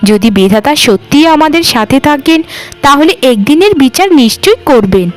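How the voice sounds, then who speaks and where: A woman's voice: sits high, sing-song, normal speed, faint music behind. A woman voicing a weary, aggrieved village sister-in-law in a melodramatic cartoon, complaining plaintively. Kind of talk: cartoon voice acting, melodramatic dialogue.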